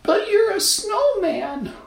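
A woman's voice: very high voice, big pitch swings, slow, gravelly, echoey room, heavily acted. A woman voicing a tiny, timid, forlorn little girl with a plaintive, melodramatic manner for a storybook tale. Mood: startled.

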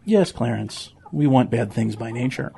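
A man's voice: mid-range voice, normal speed, gravelly, dark tone, slight background noise, natural voice. A man playing an earnest, preachy pig with an admonishing manner, in a children's audio drama. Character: earnest, preachy male pig with a fussy nervous streak.

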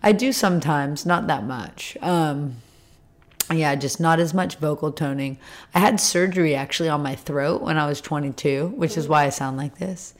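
Raspy woman, deep voice, loose rambling talk, scarred throat. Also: normal speed. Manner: rambling, lots of fillers. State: throat scar tissue, tires easily. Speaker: interview guest, retreat leader. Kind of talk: casual conversation.

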